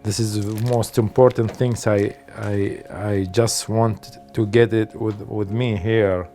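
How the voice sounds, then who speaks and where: Brief: woman with an extremely low voice, slow, fond and hesitant. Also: level tone, warm tone, soft background music. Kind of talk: interview testimony.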